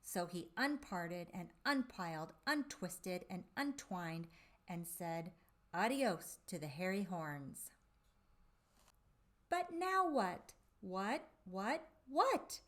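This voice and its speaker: A woman reading a children's picture book aloud expressively, with theatrical drawn-out emphasis and dramatic pauses. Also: on the low side, big pitch swings, a bit bright. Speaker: storybook reader for children.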